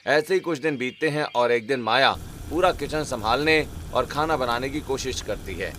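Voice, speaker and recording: A man's voice: mid-range voice, normal speed, fairly bright tone, a little hiss or hum. A man narrating a scripted family moral story as a storyteller.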